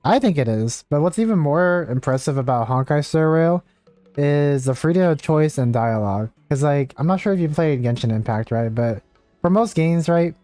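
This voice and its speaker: A man chats casually as he streams a game. His voice is mellow and relaxed, and he rambles hesitantly. The sound is dry and close, with faint music behind. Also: fairly high pitch, normal speed, dry recording. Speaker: game livestreamer. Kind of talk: casual livestream chat with viewers.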